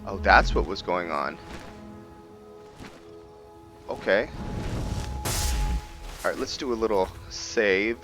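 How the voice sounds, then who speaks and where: A man with a low voice hosts a gaming video over clear background music. He talks casually through his roleplay, rambling and thinking aloud as he addresses his viewers. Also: lively intonation, unhurried. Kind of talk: casual roleplay game commentary.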